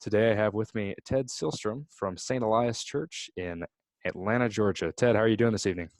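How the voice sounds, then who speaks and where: Brisk, upbeat male interviewer. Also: lowish voice, darkish tone, no echo, studio quality. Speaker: interviewer, host. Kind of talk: casual interview.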